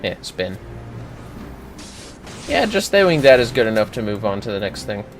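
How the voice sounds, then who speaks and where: A man with a fairly low voice talks through gameplay on a livestream. He explains tactics step by step in an informal, sweary way, with light game music behind him. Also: sing-song, normal speed. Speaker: livestreamer, gaming host. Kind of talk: casual gameplay commentary and coaching.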